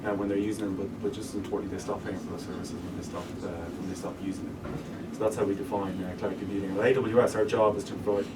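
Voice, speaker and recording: Low-voiced Irish man, distant room mic. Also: flat delivery, fast, noisy background. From Ireland. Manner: explanatory, businesslike, with frequent restarts. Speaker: guest speaker. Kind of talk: interview talk to a live audience. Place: event room with an audience.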